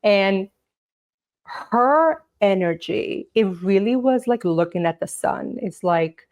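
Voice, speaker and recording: A woman whose first language is Portuguese chats as a podcast guest. She tells stories loosely and warmly, packing her talk with "like" and "you know". Her voice sits at a middling pitch. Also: animated, takes it slowly. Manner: chatty storyteller, lots of "like" and "you know". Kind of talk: casual interview chat.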